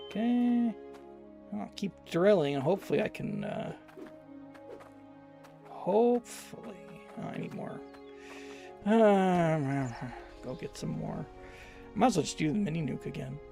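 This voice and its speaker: Gravelly Canadian man streaming a game. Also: high-pitched, expressive, unhurried, pauses often, mellow, faint music behind. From Alberta, Canada. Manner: loose, rambling and self-deprecating. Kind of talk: live gameplay commentary while reading chat.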